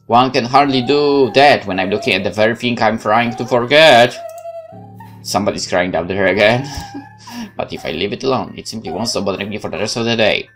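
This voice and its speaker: A man reading a horror visual novel aloud as a gaming host, with dramatic, expressive delivery and exclamations. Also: fairly low pitch, a little fast. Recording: music behind the voice.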